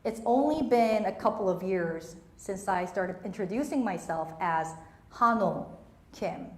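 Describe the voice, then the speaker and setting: A woman's voice: medium pitch, expressive, measured pace, reverberant. A young woman, a native Korean speaker raised in Southeast Asia, giving an emphatic motivational talk on stage and repeating her key phrases. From Korea, raised in Malaysia and Singapore.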